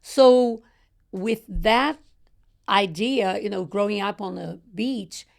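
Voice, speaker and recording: A woman's voice: medium pitch, slow, creaky. A middle-aged woman from Brazil with a Brazilian accent, chatting unhurriedly as a podcast guest and sometimes phrasing things like a non-native speaker. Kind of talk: casual conversation.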